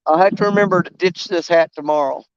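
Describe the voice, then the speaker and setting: A man's voice: on the high side, fast, dull audio, a little hiss or hum. A man, losing his voice and coughing, chatting on a live stream while fishing by a river, rambling and poking fun at himself. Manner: rambling, self-mocking, drawling. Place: outdoors by a river.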